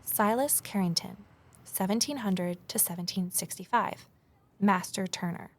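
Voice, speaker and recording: A woman's voice: medium pitch, measured pace. An actress playing a curious, watchful amateur investigator, telling her mystery in the first person and talking with others. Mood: intrigued, watchful. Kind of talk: audio drama narration and dialogue.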